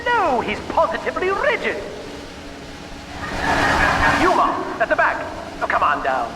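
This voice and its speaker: High-pitched, pompous, unhinged company boss. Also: male voice, huge pitch swings, a little slow, distant mic, very noisy, heavily acted. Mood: showman patter. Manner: pompous, theatrical, swooping between murmur and shriek. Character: deranged male corporate boss, pompous, theatrical, taunting showman. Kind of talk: theatrical video game villain acting. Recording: roomy, echoing sound under loud music.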